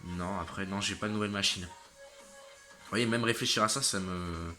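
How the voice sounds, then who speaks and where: A worn-out young man in his late teens gives his viewers a casual video update. His voice has a bright, forceful edge, though fatigue and a slight cold leave him congested and stumbling. His sentences stall and restart, and faint music plays behind him. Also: deep voice. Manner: halting, trailing off and restarting sentences. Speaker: motorcycle channel host.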